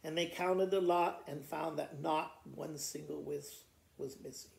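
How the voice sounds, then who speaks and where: A man in his sixties reads poetry to children with expressive, theatrical delivery. His voice is gravelly and recorded in a roomy living room. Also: a little high, unhurried. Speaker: school principal reading to students. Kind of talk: storytime poetry reading. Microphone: camera a short distance away. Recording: roomy indoor echo.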